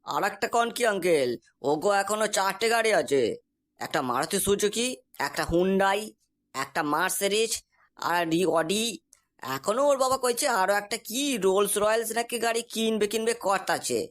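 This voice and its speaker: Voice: medium pitch, normal speed, a bit bright, lightly acted. An actor voicing a boastful, cocky young man in a comic cartoon, talking in a breezy, insistent, colloquial dialect. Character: boastful, cocky young man exaggerating to impress his friend's prospective father-in-law.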